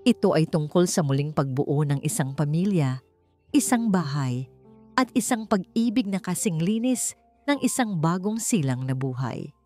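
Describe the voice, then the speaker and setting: A woman reads scripted, dramatic romance narration in a measured manner. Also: deep voice, expressive, darkish tone. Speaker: story narrator. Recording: music behind the voice. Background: background music.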